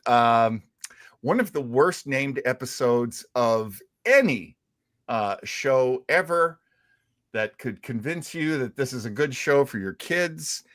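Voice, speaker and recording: A man hosting a radio show, telling stories in a casual, animated and deliberate way with emphatic repetition. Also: medium pitch, no echo, clean studio sound. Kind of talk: casual storytelling talk show.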